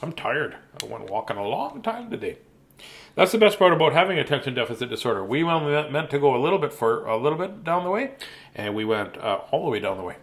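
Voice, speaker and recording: A man's voice: mid-range voice, very animated, fast talker. A man chatting casually as host of a bedtime story reading, playful and self-deprecating, with joking asides.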